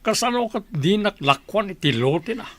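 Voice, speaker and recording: An actor with an Ilocano Filipino accent playing a pushy village land buyer in a radio drama. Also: male voice, sits high, animated, normal speed, no room sound. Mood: grumbling. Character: pushy village man pressing to buy land.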